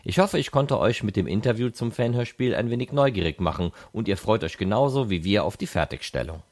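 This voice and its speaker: A man's voice: fairly low pitch, lively intonation, brisk, a little mellow. A man hosting a podcast, reading out scripted previews and announcements. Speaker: podcast host.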